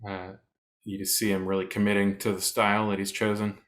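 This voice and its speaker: A man with a lowish voice talks through artwork in a measured, thoughtful way. He often stops on small fillers while he looks for the right word. It is relaxed co-host chat about art. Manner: thoughtful, searching for words with frequent uh fillers. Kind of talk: casual art discussion.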